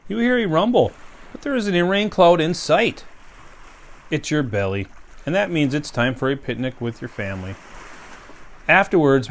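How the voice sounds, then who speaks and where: Male park ranger reading a story to young kids, gentle and questioning, slightly raised voice. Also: fairly high pitch, creaky, a little hiss or hum.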